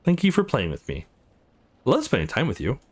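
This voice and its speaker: A man's voice: medium pitch, huge pitch swings, brisk, husky. A man voicing an upbeat, friendly young protagonist in a visual novel, playful and coaxing. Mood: sincere.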